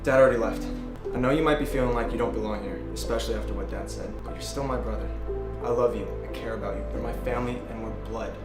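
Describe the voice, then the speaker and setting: An actor playing an earnest, pleading teenage son and brother in a short film drama. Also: male voice, medium pitch, fast, natural voice. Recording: echoey film audio, music underneath.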